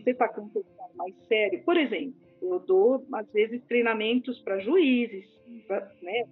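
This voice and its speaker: A woman with a Brazilian Portuguese accent, a podcast guest explaining communication and empathy, animated and sometimes acting out other people. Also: on the high side, a little slow, breathy edge. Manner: animated, acts out quoted people. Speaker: speech therapist guest. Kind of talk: podcast conversation. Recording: phone-like lo-fi audio with faint music.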